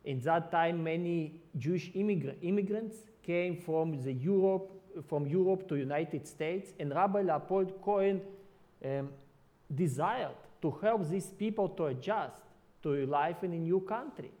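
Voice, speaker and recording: A man preaching in careful but halting English, his showing in his phrasing. He often restarts words and reaches for the right expression. His voice sits a touch higher than most men's. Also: mellow. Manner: halting non-native English with many restarts. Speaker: ministry worker. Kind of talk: sermon.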